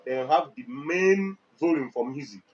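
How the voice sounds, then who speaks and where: Higher-voiced Nigerian man, emphatic explainer. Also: expressive, moderate pace. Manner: emphatic, repetitive, checks listener with questions. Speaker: music equipment dealer and presenter. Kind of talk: product explainer talk. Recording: dull lo-fi audio, faint background noise.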